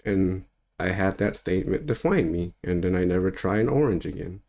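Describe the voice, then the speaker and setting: Man, 30s, very low voice, casual spiritual-teacher monologue, meandering and relaxed. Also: brisk. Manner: loose and rambling, with easy laughs.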